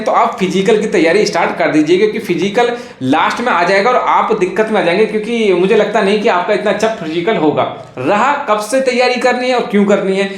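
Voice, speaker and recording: A man with a notably high voice gives informal coaching advice. He explains step by step and often repeats key phrases. The sound is clearly roomy, with echo around the voice. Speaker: exam coaching teacher and YouTuber. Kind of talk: informal explainer on police recruitment. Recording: echoey room.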